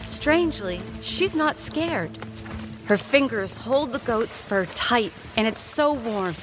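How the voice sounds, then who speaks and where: A woman's voice: on the high side, lively intonation, slow. A woman narrating a scripted audio drama in a storytelling style. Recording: music bed under voice.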